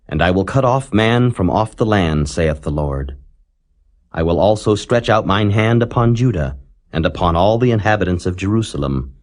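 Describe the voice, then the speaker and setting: A man reading scripture aloud in a solemn, measured way, rising dramatically on the warnings. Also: low voice, darkish tone. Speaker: Bible reader.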